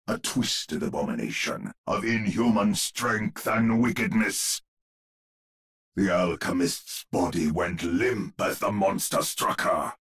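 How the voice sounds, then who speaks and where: A man playing a grim, gravelly storyteller narrating a gothic horror tale in an ominous, theatrical manner for a game. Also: deep voice, exaggerated voice. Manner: ominous, dramatic, deliberate storyteller.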